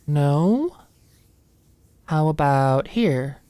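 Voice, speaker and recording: Woman acting an anxious, flustered young student, lively pitch. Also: clear, resonant tone, no room sound, exaggerated voice. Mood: nervous, apologetic. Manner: halting, stammering, flustered. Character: anxious, stressed young female medical student, flustered and oblivious. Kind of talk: ASMR audio roleplay.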